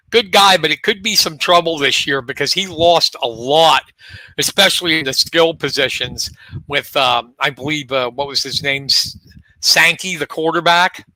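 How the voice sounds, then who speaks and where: Bright-toned man hosting local sports talk. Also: fairly high pitch, dry recording, low-quality recording. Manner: leads the rundown of teams, relaxed and chatty. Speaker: co-host of a local sports show.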